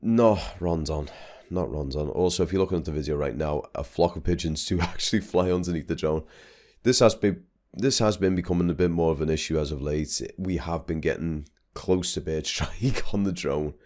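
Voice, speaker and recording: A man's voice: deep voice, moderate pace, a little mellow. A young man, talking casually and rambling a bit as he vlogs over drone footage. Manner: chatty, rambling with restarts. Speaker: vlogger.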